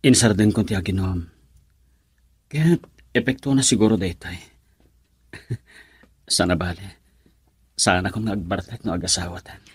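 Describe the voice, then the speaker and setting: A man's voice: low voice, unhurried, long pauses, slightly rough, heavily acted. A man playing an earnest, lovelorn and self-pitying suitor in an Ilocano radio love drama, with plaintive, emotional delivery. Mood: pained, rueful.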